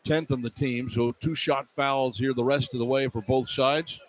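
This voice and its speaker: A man calling live basketball play-by-play for radio in clipped running descriptions that can rise into excited calls. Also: mid-range voice, moderate pace, poor audio. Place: indoor arena at a live game. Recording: live radio broadcast with arena noise. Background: arena noise.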